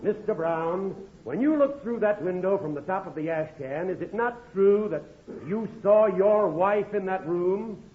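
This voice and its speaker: A high-voiced, formal defense lawyer. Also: male voice, slight background noise. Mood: polite, pointed. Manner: formal, deliberate legal phrasing. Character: polite, assured courtroom defense attorney. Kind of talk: 1950s radio comedy acting. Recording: phone-like old radio recording, audience behind.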